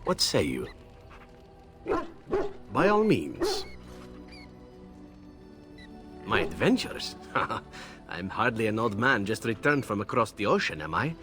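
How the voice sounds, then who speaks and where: An actor playing a suave, flirtatious assassin in a fantasy video game, telling tales in a wry, teasing, theatrical way. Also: male voice, fairly low pitch, wildly swooping pitch, unhurried, long pauses, music in the background. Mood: amused, teasing. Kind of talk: video game character acting.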